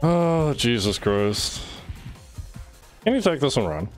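A man's voice: medium pitch, sing-song, takes it slowly, soft background music. A man doing casual, sweary gameplay commentary as a streamer, chatting loosely to his teammates. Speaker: game streamer.

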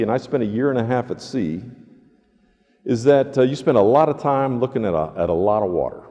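A man's voice: on the low side, mellow, dull audio. Older male preacher in a reverberant hall. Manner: earnest, deliberate emphatic repetition. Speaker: pastor preaching. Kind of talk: sermon. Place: large church sanctuary, indoors.

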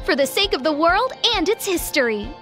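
High-pitched official young guardian goddess. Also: female voice, big pitch swings, brisk, a bit bright, faint music behind, exaggerated voice. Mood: bright, official. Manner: instructive, formal. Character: cheerful, official young female guardian deity briefing recruits. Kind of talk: scripted announcement.

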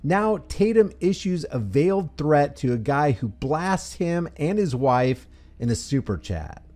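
A man reading scripted, critical commentary as a voice-over, deliberately stressing key phrases. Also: fairly high pitch, sing-song, a little mellow. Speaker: commentary channel host.

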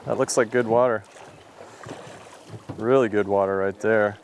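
A man's voice: fairly low pitch, fairly even intonation, a little slow, slight background noise. A middle-aged man chatting in a friendly way on a drift boat outdoors, explaining the flies he uses. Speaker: angler. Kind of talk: casual chat.

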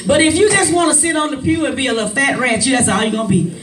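A woman's voice: high-pitched, very fast, fairly bright tone. An older woman pastor preaching a sermon, with sing-song rises and chatty asides, filmed from a distance with the congregation calling back. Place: small church sanctuary, preaching at a clear pulpit on a low stage. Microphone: handheld mic, filmed by a far camera. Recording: roomy church sound from a distance with congregation.

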